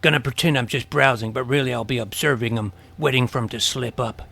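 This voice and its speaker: An actor playing an eager, suspicious, jumpy twelve-year-old boy vampire hunter in an audio drama, with a creaky edge to the voice. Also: mid-range voice, fairly even intonation, fast, fairly bright tone, slight background noise. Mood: watchful, chatty.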